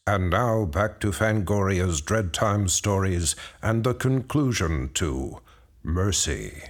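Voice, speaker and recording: Deep, slow male announcer. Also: animated. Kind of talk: radio drama announcement.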